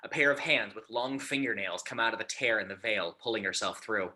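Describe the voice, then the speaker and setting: A man's voice: on the low side, a little fast, bright, forceful tone. A man reading stage directions aloud as the narrator of a script.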